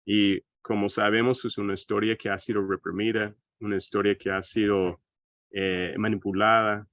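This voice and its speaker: A man's voice: fairly low pitch, level tone, moderate pace, a bit bright, no echo. American guy lecturing in spanish, thoughtful, keeps asking little questions, dull lo-fi call audio. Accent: American English. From United States. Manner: thoughtful, often tags phrases with a questioning aside. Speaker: seminar speaker. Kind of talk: online lecture presentation.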